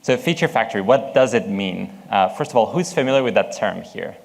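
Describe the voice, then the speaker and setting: A man's voice: fairly low pitch, lively intonation, a little fast, creaky, in a large hall. A man with a French accent giving a conference talk, hesitant with many fillers, asking the audience questions. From France. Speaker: conference speaker, product manager.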